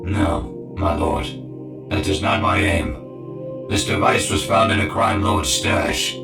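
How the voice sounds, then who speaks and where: A gravelly, very low-voiced young-ranking tech-priest acted by a man speaks with earnest deference. His measured delivery is flat and echoing, set over loud music in an audio drama. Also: exaggerated voice. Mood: insistent. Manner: respectful, measured, persistent.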